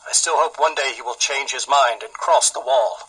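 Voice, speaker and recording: An actor playing an earnest, wounded young immigrant son confiding in his mother in a measured game audio log. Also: mid-range voice, a bit bright, slightly rough, rough recording. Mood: wistful.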